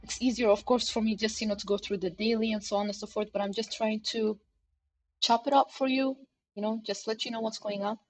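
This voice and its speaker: A woman with a slightly high voice talks a live audience through price charts in a loose, chatty way. She leans on fillers and checks in with her listeners often. Faint music plays under her stream. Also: fairly even intonation, fairly quick, rough recording. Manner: chatty, many fillers, addresses chat directly. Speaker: crypto chart analysis livestream host. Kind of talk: casual live market commentary. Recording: livestream with faint background music. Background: faint background music.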